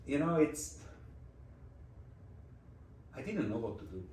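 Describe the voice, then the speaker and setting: A man's voice: a little high, big pitch swings, takes it slowly, a little mellow, far from the mic, a little hiss or hum. Man, Swiss German accent, forties, reflective interview guest, roomy echo, a bit off-mic. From Switzerland. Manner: reflective, non-native phrasing with restarts. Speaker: interview guest, design executive. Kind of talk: casual interview conversation.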